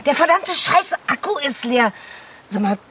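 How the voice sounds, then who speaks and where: A woman's voice: sits high, very animated, unhurried, a bit bright, full character voice. An actress playing an anxious, sarcastic mother in a naturalistic radio drama, biting and insistent. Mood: agitated, urgent. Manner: biting, insistent, repeating herself. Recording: faint background ambience noise.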